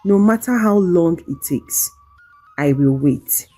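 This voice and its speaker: A woman storyteller voicing a kind, devoted young village woman in love, gentle and sincere. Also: fairly low pitch, expressive, slow, soft background music. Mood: resolute, sad.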